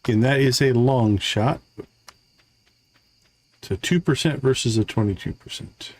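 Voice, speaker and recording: A man's voice: mid-range voice, unhurried. A man hosting a gaming livestream, thinking aloud in casual, chatty commentary as he plays.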